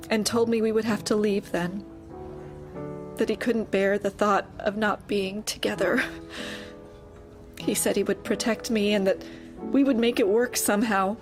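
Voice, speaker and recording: Woman acting cowed female office worker: subdued, deferential, medium pitch, dark-comedy audio drama. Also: lightly acted. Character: meek, anxious young mother, a cowed female employee.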